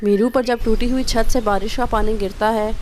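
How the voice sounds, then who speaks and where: A woman's voice: on the high side, steady tone, moderate pace, darkish tone, a little hiss or hum. Woman narrating a children's cartoon story.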